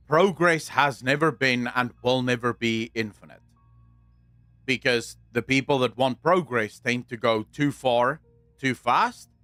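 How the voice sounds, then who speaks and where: A young man with a South African accent talks to his stream audience, blunt and deliberate, circling back to restate his points. His voice is dry and close to the mic. Faint music plays underneath. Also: medium pitch, sharp tone, no room sound. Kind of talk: casual livestream chat, answering viewers.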